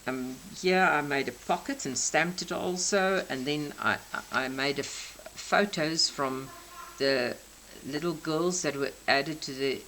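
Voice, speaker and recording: A South African woman in her sixties, a native Afrikaans speaker, gently explaining each detail of her craft journal. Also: low-pitched, unhurried, a little hiss or hum, rough recording. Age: 60s. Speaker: craft channel host. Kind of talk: crafting show-and-tell flip-through.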